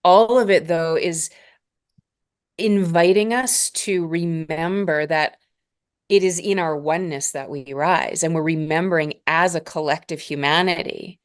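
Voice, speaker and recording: A woman in her forties from Northern Alberta, a spiritual mentor speaking as a guest in a slow, measured, reflective way. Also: sits low. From Northern Alberta, Canada. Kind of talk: reflective interview conversation.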